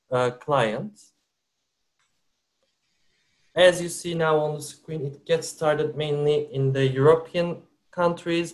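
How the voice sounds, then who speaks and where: Slightly high-voiced man hosting a webinar. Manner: measured, hesitant with fillers. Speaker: webinar host. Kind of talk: corporate webinar presentation. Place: works for a company based in Turkey.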